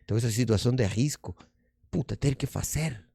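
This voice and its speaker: A man's voice: medium pitch, a little fast, creaky, dark tone, husky, no echo. A middle-aged man with a Spanish accent, a native Spanish speaker from Argentina, telling stories as a magician and comedian in a casual interview, rambling and restarting, slipping in Spanish words.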